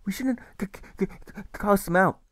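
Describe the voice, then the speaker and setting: Man as a high-voiced stammering, pleading boy. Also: moderate pace, no echo, studio quality, natural voice. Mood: earnest.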